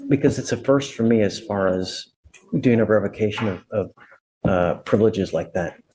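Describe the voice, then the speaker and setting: A man's voice: on the low side, moderate pace, a little mellow, a little hiss or hum, low-quality recording. Informal but stern male judge. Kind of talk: courtroom remarks from the bench. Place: courtroom.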